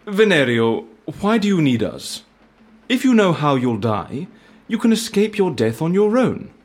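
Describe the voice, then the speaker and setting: An actor playing a feisty, practical friend in an audio drama, brisk and argumentative. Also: male voice, a little high, sing-song. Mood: urging. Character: feisty, practical friend urging defiance of fate.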